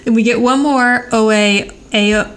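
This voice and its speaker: A woman's voice: fairly high pitch, steady tone, measured pace. A woman co-hosting a podcast, chatting casually as she recaps a television show. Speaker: podcast co-host. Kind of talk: casual TV rewatch chat.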